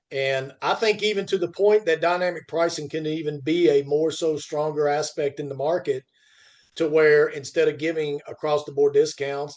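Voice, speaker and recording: A man with a somewhat high voice hosts an unscripted business podcast. He repeats the starts of his phrases as he works toward a point, then lands it with emphasis, often answering his own questions. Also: a little mellow. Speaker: podcast host and freight industry commentator. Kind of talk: unscripted industry discussion.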